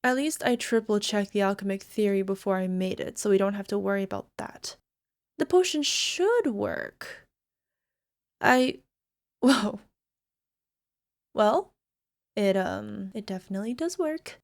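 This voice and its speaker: A woman's voice: medium pitch, animated, unhurried, pauses often. A woman playing a bubbly young alchemist who turns obsessively lovestruck, in a hesitant, giggly ASMR roleplay manner that slips into whispers. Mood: focused, sheepish, then giddy, flustered. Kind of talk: ASMR girlfriend roleplay audio drama.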